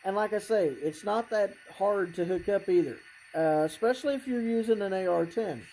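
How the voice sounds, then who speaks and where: A hobbyist presenter giving a casual, unscripted demonstration in a halting, rambling way, often clearing the throat. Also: mid-range voice, dark tone, slight background noise, poor audio. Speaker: hobbyist presenter showing a model train layout.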